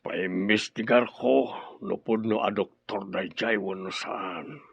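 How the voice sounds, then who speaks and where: A man's voice: fairly low pitch, fairly even intonation, takes it slowly, vocal fry, husky, dull audio, heavily acted. An actor playing a worried, suspicious man recovering from poisoning in an audio drama.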